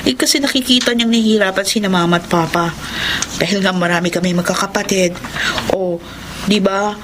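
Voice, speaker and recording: A woman's voice: medium pitch, a little fast, fairly bright tone, slight background noise, natural voice. An actress playing a worried, persuasive young wife and mother in a radio drama, pleading and insistent. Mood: earnest, persuasive. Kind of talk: Tagalog radio drama acting.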